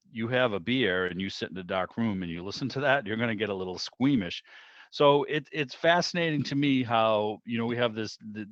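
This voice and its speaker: A man with a fairly low voice chats as an interviewer. He rambles and circles around his point in a casual way. The sound is a slightly muffled video call with some room to it. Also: dry recording. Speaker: interviewer, club meeting host.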